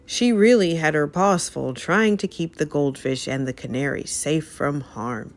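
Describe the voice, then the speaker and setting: A woman reading a children's story aloud as narrator, with an expressive, dramatic delivery. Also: low-pitched, measured pace.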